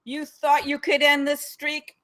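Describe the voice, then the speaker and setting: Woman acting high-voiced sneering mob leader. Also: completely flat delivery, a little slow, sharp tone. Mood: contemptuous. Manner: mocking, menacing. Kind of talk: live screenplay table read.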